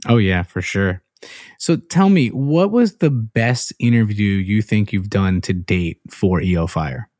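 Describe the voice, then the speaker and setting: A man hosting a podcast interview in a casual, chatty way, friendly and quick to laugh. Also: fairly low pitch, animated, darkish tone, no echo, studio quality. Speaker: podcast host.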